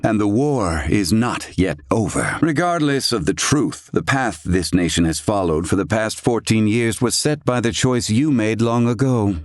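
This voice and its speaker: A man playing a proud, stern older inventor in a video game, speaking in formal, archaic language with gruff, haughty curtness. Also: lowish voice, dry recording, clean studio sound, close to an everyday voice. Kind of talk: scripted video game voice acting.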